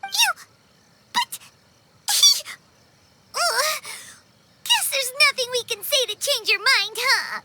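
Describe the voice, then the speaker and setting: A woman voicing a small, childlike fairy companion in a video game, squeaky, blunt and excitable, with music behind her. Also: takes it slowly, long pauses, slight background noise, heavily acted. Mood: alarmed. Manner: animated, blunt, exclaiming. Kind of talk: cartoonish game acting.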